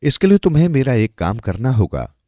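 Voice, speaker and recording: A man's voice: mid-range voice, very animated, fairly quick, warm tone, no echo, pitched above the performer's own voice. A man voicing a weary, poor old village woman with lost magic in a storybook tale. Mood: helpful, bargaining. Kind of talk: storybook character dialogue.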